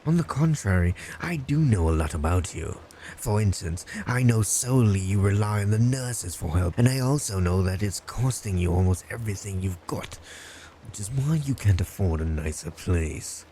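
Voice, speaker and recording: A man's voice: lowish voice, expressive, moderate pace, warm tone, slight background noise, natural voice. A man playing a blunt, smug, creepy lovestruck stalker in an intimate boyfriend roleplay, condescending and teasing. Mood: smug, taunting. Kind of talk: boyfriend roleplay audio, intimate scripted monologue.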